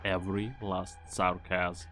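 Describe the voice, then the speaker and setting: A man's voice: low-pitched, little pitch movement, slow pace, sharp tone, faint music behind, exaggerated voice. A man voicing a weary, pragmatic mercenary commander, terse and matter-of-fact, in an amateur game fan dub.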